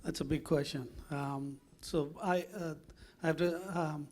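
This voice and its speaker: A filmmaker from Sri Lanka, speaking on a panel in a halting way as he searches for words. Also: on the high side, steady tone, a little mellow. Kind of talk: panel discussion.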